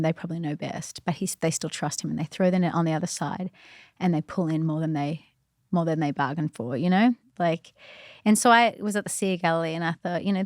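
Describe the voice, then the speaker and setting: A woman's voice: low voice, darkish tone, no echo, studio quality. A woman guest on a podcast, telling personal faith stories in an earnest, chatty way, full of "like" and "you know". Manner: earnest and reflective. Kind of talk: casual faith storytelling.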